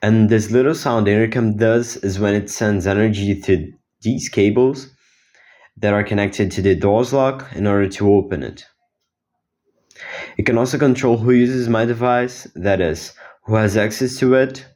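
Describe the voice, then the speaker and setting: Low-voiced teenage guy presenting. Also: moderate pace, a little mellow. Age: teens. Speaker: student inventor presenting own project. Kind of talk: explanatory project demo.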